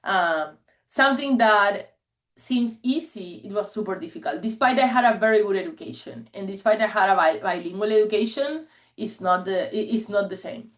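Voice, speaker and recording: A woman in her forties, a native Spanish speaker, talks to an online meeting through a video call mic. Her pitch sits in an ordinary middle range. She tells her stories in an earnest, chatty way and laughs often. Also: expressive, moderate pace. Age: 40s. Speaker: guest speaker.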